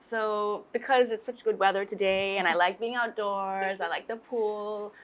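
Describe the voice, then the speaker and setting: A woman's voice: medium pitch, fairly even intonation, unhurried. A woman in her twenties from Singapore, a guest chatting casually outdoors by a pool, often saying "like" and "you know". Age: 20s. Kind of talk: casual interview chat.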